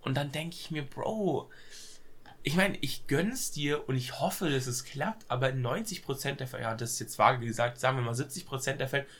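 A man's voice: medium pitch, moderate pace, a bit bright. A young man with a cold, chatting casually as podcast co-host, hesitant with lots of fillers and youthful slang. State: has a cold. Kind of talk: casual chat.

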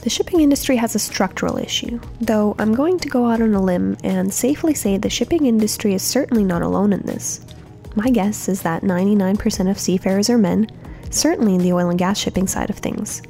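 Podcast host lady, friendly scripted read, warm low tone, bit of vocal fry. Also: a little fast, faint music behind. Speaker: podcast host from a communications team.

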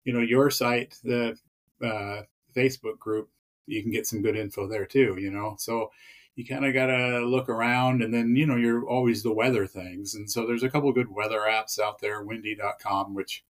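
A man with a fairly low voice talks loosely in an interview. He wanders through his points with lots of "you know" and false starts. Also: steady tone, moderate pace. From Pacific Northwest of the US. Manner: rambling, many fillers and restarts. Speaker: podcast guest, peakbagger. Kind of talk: casual interview chat.

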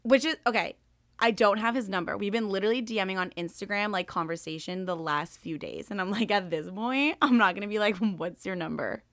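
A young woman co-hosting a casual podcast, talking in a rambling way with lots of fillers and a slightly raspy voice. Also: mid-range voice, a little fast, a bit bright, no echo, clean studio sound. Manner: rambling, many fillers, laughs often. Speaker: podcast co-host. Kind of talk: casual chat.

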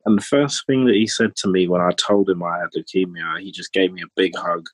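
A man, from Trinidad, speaking as a podcast guest in a candid, hesitant way with many pauses and ums. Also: sits low, fairly even intonation, slightly rough, no room sound. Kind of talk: candid personal conversation.

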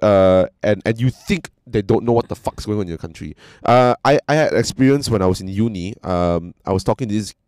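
A young Malaysian man with a Malaysian English accent, chatting casually in a blunt, profane, animated way. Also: fairly low pitch, a little fast, few pauses, no echo. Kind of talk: casual chat.

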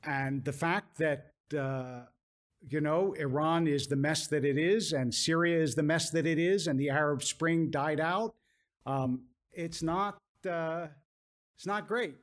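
An American man, a rabbi answering audience questions in a measured, careful way, often qualifying and repeating phrases for emphasis. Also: a little high. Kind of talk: thoughtful public question-and-answer talk.

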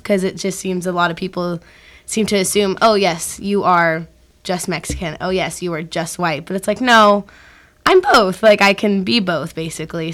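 A woman's voice: lowish voice, bright, forceful tone. An American woman in her twenties giving casual, conversational answers as a studio interview guest. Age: 20s. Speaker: guest, young actor. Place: radio studio.

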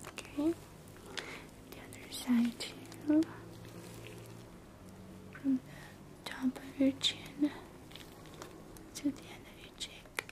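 A woman whispering softly and closely as a doctor in an ASMR roleplay, giving gentle, reassuring bedside talk into a binaural mic. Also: high voice, fairly even intonation, extremely slow, long pauses, poor audio. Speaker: ASMR creator role-playing a doctor.

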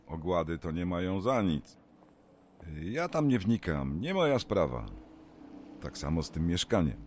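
A man's voice: sits low, big pitch swings, unhurried, darkish tone, heavily acted. An actor playing a gossipy, awkward elderly male neighbour, rambling and hesitant in plain folksy talk, in a radio drama. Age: older. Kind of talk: naturalistic radio drama acting.

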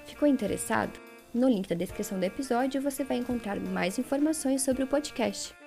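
A woman's voice: fairly high pitch, dark tone. A woman with a Brazilian accent hosting a podcast with scripted narration. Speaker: podcast host.

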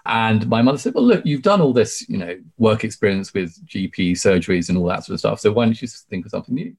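Low-pitched British man, effusive and talkative. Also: animated, fast talker, vocal fry, darkish tone. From UK, born in Sri Lanka. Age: 40s. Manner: effusive, emphatic, talkative, frequent "you know". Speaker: podcast guest, barrister. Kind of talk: conversational interview storytelling.

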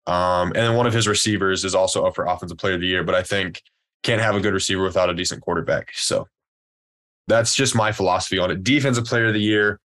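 A young man from Louisiana, co-hosting a casual sports podcast in a relaxed, rambling way. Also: deep voice, fast. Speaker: podcast co-host.

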